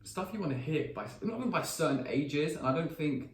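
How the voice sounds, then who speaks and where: A man's voice: on the high side, expressive, a little fast. Young man, casual podcast chat, rambling restarts, faint room hum. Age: 20s. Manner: rambling, many restarts and fillers. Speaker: podcast co-host. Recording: echoey room, mic at a distance, faint ambience.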